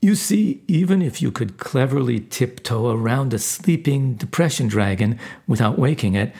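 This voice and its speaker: A male angel , voiced by a man, explains the mission with earnest emphasis. His storybook voice stays plain and slightly husky, mid-pitched and steady. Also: close to an everyday voice.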